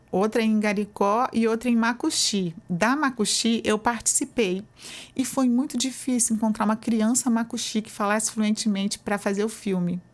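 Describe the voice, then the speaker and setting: A Brazilian woman professor talks through her answers in a relaxed, explanatory way. She speaks at a middle pitch and often tags her sentences with small confirming fillers. Her tone is conversational and unhurried. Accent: Brazilian Portuguese. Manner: explanatory, uses many fillers like "né". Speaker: university professor, guest.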